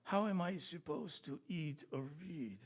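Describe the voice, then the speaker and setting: A man's voice: on the high side, unhurried, pitched above the performer's own voice. Man voicing a plaintive, sly fox. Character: sly male fox, tearfully lamenting his poor sight. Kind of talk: comic animal poem read aloud.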